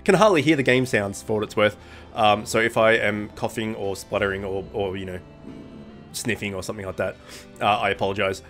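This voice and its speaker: A man's voice: on the low side, fairly quick, a bit bright. A young man with a blocked-up nose from a cold, hosting gaming commentary in a casual, rambling way with lots of ums and self-corrections. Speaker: gaming channel host. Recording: faint music bed underneath.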